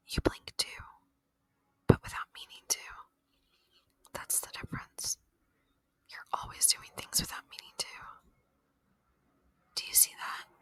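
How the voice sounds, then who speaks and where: A gentle, lonely alien, played by a woman, whispers right up close in a bright, airy hush. The delivery is slow, soothing and reflective, full of quiet curiosity about humans. It is an intimate ASMR roleplay, recorded very dry. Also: dry recording. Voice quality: breathy whisper. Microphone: close, dry microphone.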